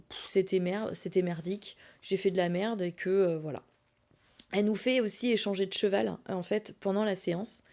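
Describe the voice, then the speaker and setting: Casting: female podcast host, warm relaxed timbre, chatty and animated, self-mocking, recounts personal anecdotes in everyday slangy speech. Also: medium pitch. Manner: animated, colloquial, self-deprecating. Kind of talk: casual personal storytelling.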